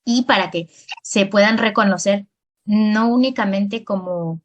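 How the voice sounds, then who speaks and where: A woman's voice: mid-range voice, measured pace, highs cut off, a little hiss or hum. A young adult woman, hosting a podcast conversation, explaining at length and often restarting her sentences as she thinks aloud. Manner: thoughtful, with frequent restarts and hesitations. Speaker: podcast host.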